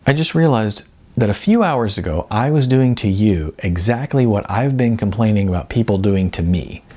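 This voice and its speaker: A man's voice: lowish voice, lively intonation, normal speed, mellow. A man voicing an earnest, frustrated woman, an aspiring actress, in an audiobook. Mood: reflective. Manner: earnest, emphatic. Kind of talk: audiobook character dialogue.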